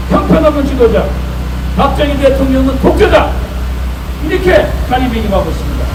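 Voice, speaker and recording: High-pitched man orating through echoey street speakers. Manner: oratorical, emphatic, deliberate phrasing. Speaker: political rally speaker. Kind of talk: political protest speech. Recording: echoey, noisy street PA, distant mic.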